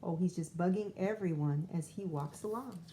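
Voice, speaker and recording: A woman's voice: fairly low pitch, normal speed, warm tone, a little hiss or hum. A woman first-grade teacher reading a picture book aloud to young children, expressively drawing out lines and adding chatty asides.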